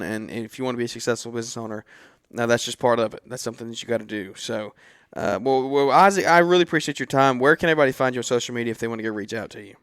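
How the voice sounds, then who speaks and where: A man hosts a casual interview podcast in a smooth, clear voice. He talks quickly and informally, with effusive encouragement for his guest. The sound is dry and close. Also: medium pitch, a bit bright, no echo. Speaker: podcast host.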